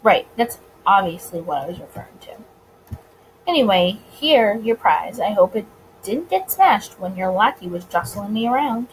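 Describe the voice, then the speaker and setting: A woman's voice: mid-range voice, sing-song, normal speed, a little hiss or hum, lightly acted, low-quality recording. A woman voicing a guarded, wry male detective in a visual novel, speaking dryly and a little awkwardly. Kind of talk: visual novel character reading.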